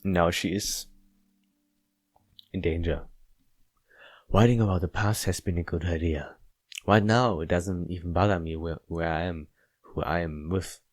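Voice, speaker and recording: Visual novel lead: brooding, withdrawn man, a player reading him aloud, low-pitched, deadpan, very dry recording. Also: measured pace, long pauses, dry recording, soft background music. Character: Jack, aloof, withdrawn, brooding male narrator of visual novel.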